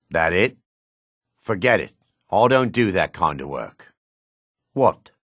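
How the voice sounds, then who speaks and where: An actor playing a proud, gruff dark elf warrior in a fantasy game, with a raspy voice and a haughty, clipped, sardonic manner. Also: male voice, sits low, dry recording, studio quality, exaggerated voice. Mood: curt. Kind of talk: fantasy video game character dialogue.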